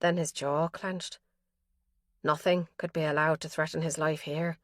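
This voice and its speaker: A woman narrating a mystery thriller audiobook in a measured, tense storytelling manner. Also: low-pitched, flat delivery, dry recording, studio quality.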